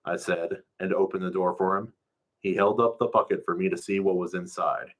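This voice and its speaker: Low-pitched man reading a story aloud. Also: steady tone, moderate pace, muffled recording. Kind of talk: first-person narrative reading, live.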